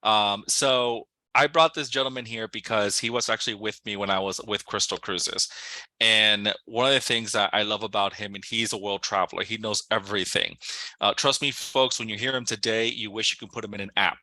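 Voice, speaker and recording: A man's voice: on the low side, fairly even intonation, a little fast, pushed and bright, no room sound. A man giving an enthusiastic, promotional sales presentation, speaking loosely with lots of fillers. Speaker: regional sales director.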